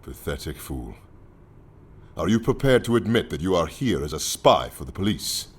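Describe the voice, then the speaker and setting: Radio play villain: imposing council leader, gravelly-husky, dramatically varied, mockingly polite and commanding. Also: male voice, deep voice, big pitch swings, normal speed, heavily acted. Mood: coolly scornful. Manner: commanding, theatrical, mockingly courteous.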